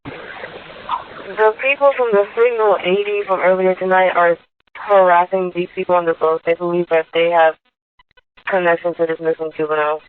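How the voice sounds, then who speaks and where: A woman's voice: fairly low pitch, fairly even intonation, moderate pace. A woman working as an emergency dispatcher, relaying call details in a procedural, matter-of-fact way over a radio transmission. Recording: thin phone-like police radio audio.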